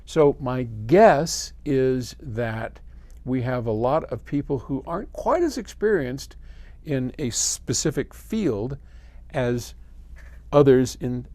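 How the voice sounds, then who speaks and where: An older American man answering a viewer's question in a casual, folksy, conversational way with expressive emphasis. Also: medium pitch, dry recording. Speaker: host, audio company head answering viewer questions.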